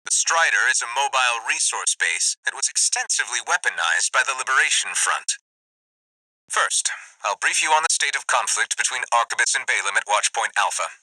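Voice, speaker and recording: A man voicing a polite but scheming, ambitious corporate military officer in a video game, speaking with formal, crisp diction. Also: mid-range voice, expressive, normal speed, bright, forceful tone, dry recording, low-quality recording. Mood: formal briefing. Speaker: military liaison giving mission briefings.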